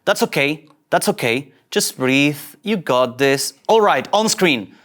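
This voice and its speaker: An actor playing a nervous young male ensign who bluffs as a bold captain in a comic sci-fi table read, halting and self-doubting. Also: mid-range voice, lively intonation, a bit bright. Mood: forced bravado.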